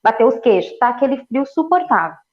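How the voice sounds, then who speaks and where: A woman in her forties from Ceará, raised in São Paulo, a nutritionist talking as a guest in a lively, easygoing way. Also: high voice, a little fast, lo-fi sound. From Ceará, Brazil, raised in São Paulo. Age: 40s. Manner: lively, laughs easily, repeats phrases. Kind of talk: casual interview.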